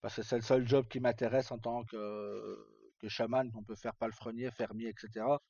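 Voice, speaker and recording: A man's voice: on the low side, little pitch movement, normal speed, vocal fry, a little mellow, no room sound. A middle-aged man talks casually on a livestream in an informal, rambling and hesitant way. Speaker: live streamer. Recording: dull, lo-fi sound.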